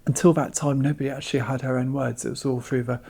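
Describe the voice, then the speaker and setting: A man giving measured, emphatic interview answers as an exhibition curator. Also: mid-range voice, little pitch movement, creaky, dark tone, husky.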